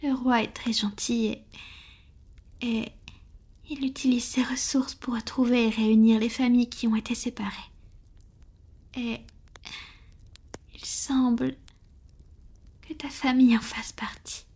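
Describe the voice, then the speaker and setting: A woman acts out a centuries-old female vampire in love, in an ASMR roleplay. Her voice is very breathy and whispered, sitting a little high. She speaks slowly and intimately, with a vulnerable, hesitant tenderness. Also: flat delivery, darkish tone. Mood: hesitant, confessing.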